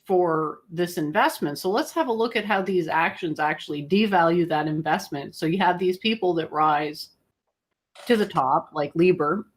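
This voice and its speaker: A woman's voice: fairly low pitch, normal speed, slightly rough. A woman co-hosting a conversational news commentary, searching for her phrasing as she goes and posing rhetorical questions. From outside the United States. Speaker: co-host commentator.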